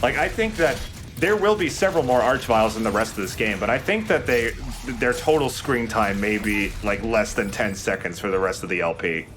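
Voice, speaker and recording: Youngish guy doing let's play commentary, lowish voice, chatty and explainy, bit of background noise. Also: a little fast, a bit bright. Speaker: let's play host and player. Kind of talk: casual gameplay commentary.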